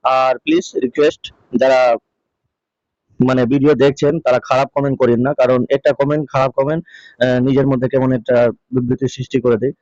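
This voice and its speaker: A man's voice: mid-range voice, flat delivery, fast, darkish tone, dry recording. A man hosting a casual vlog update, talking in a repetitive, pleading way. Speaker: video host. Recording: dull, lo-fi close dry sound.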